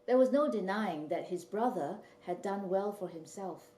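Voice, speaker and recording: A woman from Malaysia, an author reading her own fiction in a measured, clear storytelling manner. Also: medium pitch, lively intonation, mellow. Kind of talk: literary reading. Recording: roomy, distant mic.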